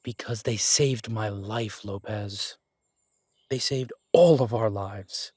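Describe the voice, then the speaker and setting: A man playing a steady, protective detective who speaks softly and reassuringly, trying to keep the peace. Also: lowish voice, takes it slowly, husky, dry recording, clean studio sound. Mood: placating. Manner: soft and reassuring, pleading, peacemaking. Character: steady, protective male detective, tender with his partner. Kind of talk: audio roleplay drama acting.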